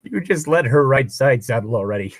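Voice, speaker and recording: A man of middling pitch reads a story out loud in a casual, joking way. His intonation moves around a lot, with sarcastic, exasperated asides. The sound is dry, with very little room in it. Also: lively intonation, a little fast, no room sound. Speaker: co-host reading fan fiction aloud. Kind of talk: read-aloud narration with casual joking commentary.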